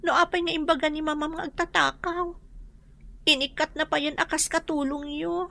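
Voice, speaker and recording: A woman's voice: high-pitched, steady tone, moderate pace, creaky, bright, forceful tone, exaggerated voice. A woman playing an anxious, dutiful young daughter who works as a housemaid, speaking with emotive, hesitant pleading in an Ilocano radio drama. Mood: sorrowful, worried.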